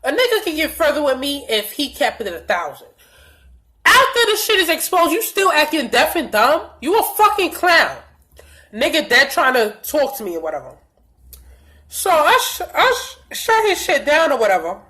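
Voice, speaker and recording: A young woman tells a storytime vlog in a blunt, profane, animated way. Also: sits high, normal speed. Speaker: vlogger.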